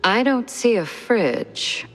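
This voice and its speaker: Poised shrewd lady, very slow measured speech, noir cartoon game, reverberant hall. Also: on the high side, lively intonation, soft background music. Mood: coolly curious. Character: poised, shrewd woman ally of a detective.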